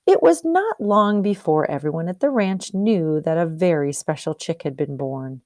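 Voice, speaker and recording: A woman's voice: on the low side, animated, normal speed, a little mellow, no room sound, clean studio sound. A woman teacher reading a storybook aloud expressively, explaining and asking questions as if to young pupils. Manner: explanatory, questioning, checks understanding.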